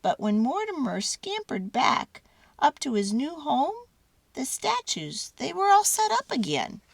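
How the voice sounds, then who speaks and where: Very animated, unhurried woman storyteller. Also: high voice, creaky, no echo. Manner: theatrical, deliberate phrasing with drawn-out words. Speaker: children's storyteller. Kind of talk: dramatic storybook read-aloud.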